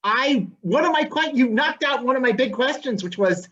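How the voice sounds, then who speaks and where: A woman's voice: high voice, expressive, moderate pace, a bit bright, dull audio. A woman moderating a virtual book event, playfully passing along audience questions over a video call. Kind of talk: relaying audience questions, casual chat.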